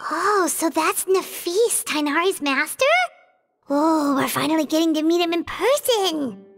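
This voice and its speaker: A woman voicing a small, childlike fairy companion girl in a video game, chirpy, squeaky and eager. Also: unhurried, fairly bright tone, heavily acted. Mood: amazed, excited. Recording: game music behind the voice.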